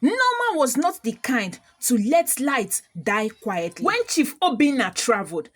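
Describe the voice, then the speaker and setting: A woman narrating a scripted dramatic story in a measured, solemn, literary manner. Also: high voice.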